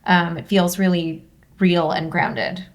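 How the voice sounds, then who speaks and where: A woman's voice: lowish voice, slow pace, highs cut off. A woman hosting an informal script discussion, talking in a casual, encouraging way. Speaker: discussion host.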